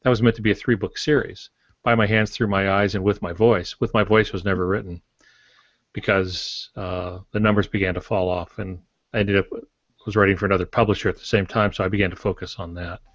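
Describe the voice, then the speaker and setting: An older man with a fairly low voice chats as a novelist on a podcast. His delivery is halting and anecdotal, with a matter-of-fact tone. The sound is dry and somewhat dull and lo-fi. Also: steady tone, a bit bright, no echo. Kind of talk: casual podcast discussion about writing.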